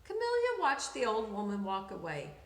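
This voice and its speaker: A woman reading a picture book aloud for children, with dramatic, deliberate emphasis, in an echoey room. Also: fairly high pitch, wildly swooping pitch, a bit bright. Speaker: children's story reader.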